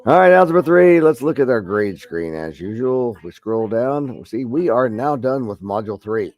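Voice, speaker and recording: A man's voice: mid-range voice, animated, normal speed, a little mellow. A middle-aged man with a head cold teaching an online math class, rambling with many fillers and restarts. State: head cold, deep congested voice.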